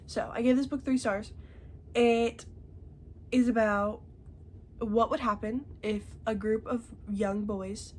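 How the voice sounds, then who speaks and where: A woman's voice: mid-range voice, a little mellow. Young woman, stuffy congested voice, chatty book talk, faint hiss behind. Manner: chatty, tags remarks with "okay?". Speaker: book reviewer. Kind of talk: casual chat.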